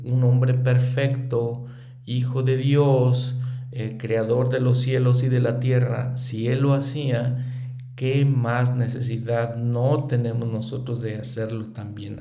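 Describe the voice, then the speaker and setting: Mellow-voiced middle-aged man teaching. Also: medium pitch, roomy sound. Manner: earnest, thinking aloud, with fillers and restarts. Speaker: scripture study teacher. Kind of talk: reflective religious lesson.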